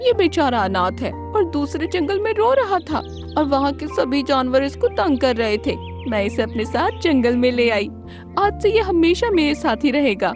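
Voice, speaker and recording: A woman doing a bossy, high-pitched cartoon fox. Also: sing-song, moderate pace, music in the background. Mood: pleading. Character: bossy, cunning female fox. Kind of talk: children's cartoon character acting.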